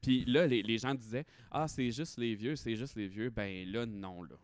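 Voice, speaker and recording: A man's voice: medium pitch, normal speed, smooth. A man in his thirties with a Quebec French accent, speaking candidly and emphatically as a guest in a casual interview. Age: 30s. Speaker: podcast guest, drag performer and hospital respiratory therapist.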